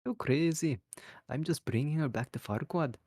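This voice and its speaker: A man voicing a gruff, awkward male ogre who talks haltingly and shyly. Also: fairly high pitch, a little mellow, no room sound, clean studio sound, voice pushed up. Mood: defensive. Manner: halting, shy, hesitant.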